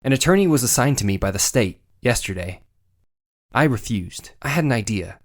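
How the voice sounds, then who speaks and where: A woman's voice: very deep voice, lively intonation, normal speed. A woman voicing an earnest, wry young male defense lawyer in a video game dub. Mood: brooding.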